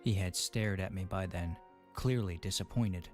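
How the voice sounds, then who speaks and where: A man narrating a dramatic first-person story in a brooding, measured manner. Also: deep voice, close to an everyday voice. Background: faint music.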